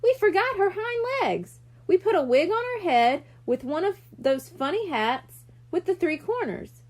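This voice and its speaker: Woman reading as a sensible, eager young boy, lively pitch. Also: unhurried, exaggerated voice. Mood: enthusiastic. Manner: explanatory, assertive. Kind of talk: children's audiobook character voice.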